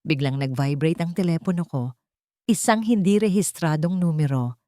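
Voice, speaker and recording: A woman narrates a dramatic story in the first person, reading from a script. Her voice sits fairly low for a woman, and the sound is dry and close, with no room around it. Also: normal speed, mellow, dry recording.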